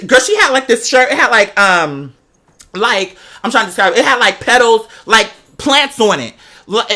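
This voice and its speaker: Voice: medium pitch, sharp tone. A person giving a casual comedic roast in a sassy, exaggerated, slang-heavy delivery.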